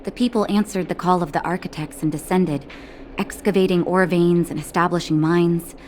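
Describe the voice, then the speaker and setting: An actress voicing a calm, caring woman doctor in a video game, speaking in a gentle, reassuring way. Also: on the low side, moderate pace, a little hiss or hum, close to an everyday voice. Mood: gentle, serious. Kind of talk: scripted game dialogue. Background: music.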